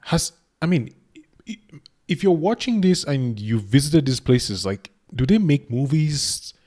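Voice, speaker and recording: Excitable young man from Cameroon. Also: medium pitch, a little slow. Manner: excitable, stammering restarts, laughs often. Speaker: reaction video host. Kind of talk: casual reaction commentary.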